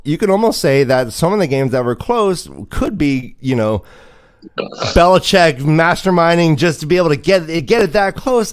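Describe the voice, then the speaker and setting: A man hosting a sports livestream, chatting casually in an animated, joking way. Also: on the high side, clean tone. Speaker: host of a fantasy football livestream.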